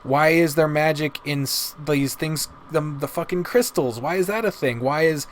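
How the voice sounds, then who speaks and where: A man's voice: a little high, moderate pace. A man giving casual, opinionated commentary as a video host, rambling and swearing heavily as he goes. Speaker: video host reviewing visual novels. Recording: noticeable background noise.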